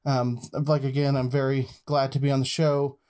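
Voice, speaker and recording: A young man from Texas joins a podcast as a guest. He talks in an earnest, halting way, full of "uh"s and restarts. His voice stays mid-pitched and very even, with little rise and fall. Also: smooth. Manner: hesitant, many "uh"s and restarts, earnest. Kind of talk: casual discussion.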